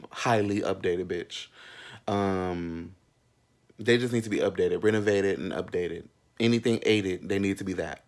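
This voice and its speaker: A man's voice: on the low side, a little mellow. A man in his thirties telling a personal story in a casual, sassy, slangy and candid way, with frequent asides. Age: 30s. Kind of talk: casual, animated storytime chat.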